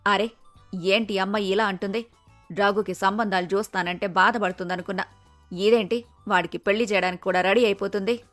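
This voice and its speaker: A woman voicing a fond, scheming older mother in an animated moral story. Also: medium pitch, a little fast, soft background music, natural voice. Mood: scheming, puzzled.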